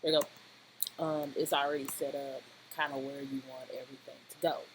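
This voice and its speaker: Halting tutorial presenter over noisy audio. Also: medium pitch, little pitch movement. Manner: rambling, halting, often checking with "okay?". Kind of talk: informal screen-recorded software tutorial, talking through steps. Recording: clearly noisy home-style voice-over recording.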